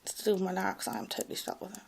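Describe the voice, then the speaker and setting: A woman with a posh English public school accent and a slight clipped American edge, telling her own story in a loud, rambling way with many hesitations. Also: mid-range voice, little pitch movement, rapid-fire, creaky, slightly rough. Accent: posh English public school with a slight American Ivy League clip. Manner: hesitant, many fillers and restarts. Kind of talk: rambling personal monologue.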